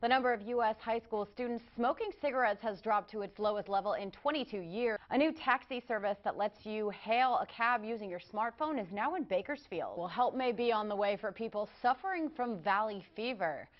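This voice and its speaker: A woman's voice: mid-range voice, brisk, few pauses, dull audio. A woman with an American accent reading scripted television news as an anchor.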